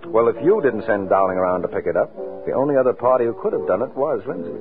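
An actor playing a quick-witted, self-assured, flirtatious American private detective in a 1940s radio drama, wry and smooth-talking. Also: male voice, medium pitch, animated, close to an everyday voice. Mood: relaxed, flirtatious. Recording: tinny, muffled old radio recording, music.

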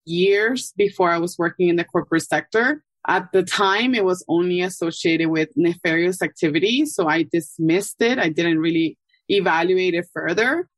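A woman from Ecuador, a guest calmly explaining her background in an interview. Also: lowish voice, no room sound. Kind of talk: casual interview answers.

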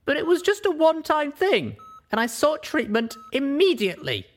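A man voicing a young female unicorn, earnest and guilt-ridden, speaking in a high pleading voice. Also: wildly swooping pitch, moderate pace, exaggerated voice. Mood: defensive.

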